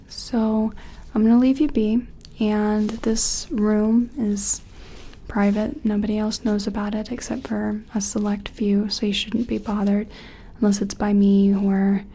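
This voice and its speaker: A woman playing a gentle, curious scientist in an ASMR roleplay, speaking softly and tentatively in a breathy, often whispery, soothing voice. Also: mid-range voice, level tone, slight background noise, lightly acted. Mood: apologetic, reassuring. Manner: soothing, tentative, apologetic, hesitant pauses. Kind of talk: soft-spoken ASMR roleplay.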